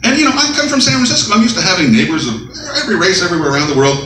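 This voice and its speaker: A deep-voiced man in his fifties doing a stand-up comedy set, wry and deadpan on the punchlines with animated asides. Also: racing, roomy sound, recorded from a distance, slight background noise, poor audio. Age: 50s. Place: small coffeehouse, live audience.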